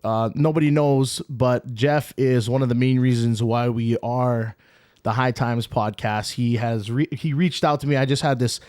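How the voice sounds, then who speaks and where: A man's voice: medium pitch, steady tone, normal speed, darkish tone, smooth, dry recording, clean studio sound. Hyped man hosting a podcast. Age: 30s. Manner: hyped, joking, slangy with lots of "bro". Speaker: podcast host. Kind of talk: casual podcast chat.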